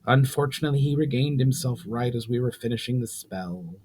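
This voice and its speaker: Man voicing a hushed, sorrowful ancient sorceress. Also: mid-range voice, close to an everyday voice. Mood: grave, pained. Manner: slow, pained, solemn speech. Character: ancient grieving burning sorceress, solemn and weary.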